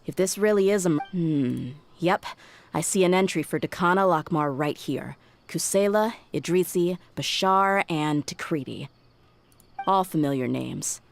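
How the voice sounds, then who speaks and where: An actress playing a tough, frank young desert mercenary in an anime game, blunt and self-assured. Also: female voice, lowish voice, measured pace, vocal fry. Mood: studious.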